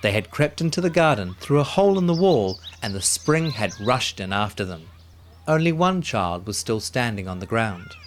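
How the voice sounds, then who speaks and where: A man narrating a children's story in an expressive, dramatic way, with music behind him. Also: lowish voice, normal speed, a little hiss or hum.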